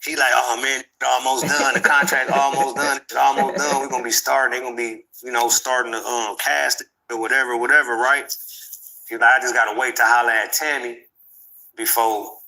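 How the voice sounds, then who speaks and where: A man's voice: medium pitch, level tone, brisk, vocal fry, pushed and bright, poor audio. A man being interviewed, telling a story in casual slang and acting out both sides of the conversation. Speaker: interview guest.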